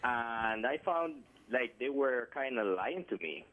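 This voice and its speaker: A man's voice: medium pitch, animated, slow, a bit bright. A man from Colombia with a Colombian Spanish accent, calling in and talking hesitantly with many fillers and restarts. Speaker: caller. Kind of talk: phone call-in conversation. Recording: tinny phone line.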